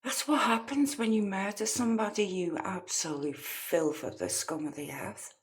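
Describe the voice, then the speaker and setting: A woman's voice: medium pitch, normal speed. A woman giving casual true crime reaction commentary in a blunt, scornful way.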